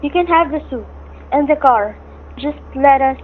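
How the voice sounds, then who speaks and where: A woman's voice: sits high, moderate pace, natural voice. A woman playing a frightened, protective hostage wife trying to keep up appearances in a radio thriller. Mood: anxious, pleading. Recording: thin, phone-like radio-play recording.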